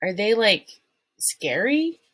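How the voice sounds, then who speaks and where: A woman voicing a shy, bookish eleven-year-old boy in a storybook reading, soft and hesitant. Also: mid-range voice, sing-song, slow pace, no room sound, studio quality, exaggerated voice.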